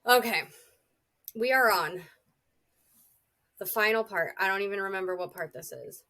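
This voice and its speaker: A woman in her thirties co-hosting a casual podcast chat, a little congested from a cold, blunt and quick to swear. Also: mid-range voice, sharp tone. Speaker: podcast co-host.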